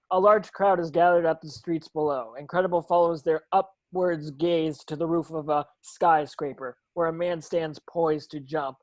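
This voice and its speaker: A man narrating stage directions from a script at a table read, sometimes tripping over words. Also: sits high.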